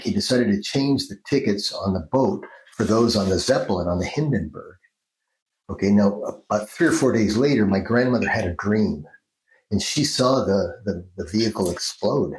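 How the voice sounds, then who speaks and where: An older man from Mexico with a Mexican accent, a Jungian analyst telling stories in an unhurried interview, often restarting his sentences. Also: on the low side, darkish tone, reverberant, low-quality recording. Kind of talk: storytelling interview answers.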